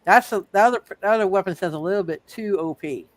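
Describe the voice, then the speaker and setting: Game master for a live tabletop session: casual, halting delivery with repeated phrases, very little room sound. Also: mid-range voice, dry recording. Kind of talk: casual tabletop roleplay narration.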